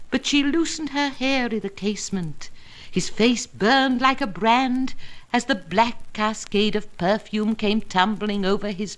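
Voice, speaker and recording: A woman reading narrative poetry aloud in a dramatic, measured and expressive manner, with deliberate pauses and emphasis. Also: fairly high pitch, poor audio. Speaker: poetry reader.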